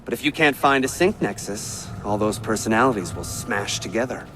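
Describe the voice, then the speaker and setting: A man's voice: fairly low pitch, a little fast, a bit bright, faint music behind, lightly acted. A male actor playing a mocking, theatrical, unhinged man trapped inside a machine in a video game. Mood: wry, intense. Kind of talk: dramatic video game narration and dialogue.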